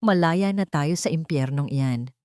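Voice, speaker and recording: A woman's voice: sits low, unhurried, dark tone, clean tone, dry recording, clean studio sound. A woman playing a young man, a scheming secret lover, conspiratorial and urgent in an audio drama.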